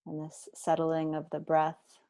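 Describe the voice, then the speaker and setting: A woman guiding a body scan meditation, speaking slowly and deliberately with gentle instructions and long pauses. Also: low voice, completely flat delivery, dry recording. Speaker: meditation teacher.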